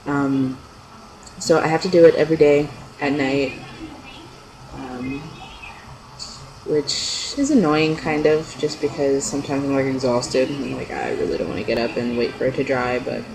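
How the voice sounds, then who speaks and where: A man's voice: normal speed, gravelly. Rambling young man, noisy home recording. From California, United States. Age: young adult. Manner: rambling, hesitant. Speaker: video blogger. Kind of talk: casual unscripted chat to camera. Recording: noisy low-quality amateur webcam-style audio.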